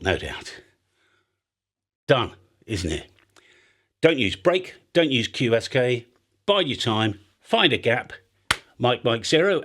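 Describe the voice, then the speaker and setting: Male host, British accent, informal and talkative, swinging pitch, explains by acting out little exchanges. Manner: chatty, animated, acts out example exchanges. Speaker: ham radio video host. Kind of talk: casual explainer chat.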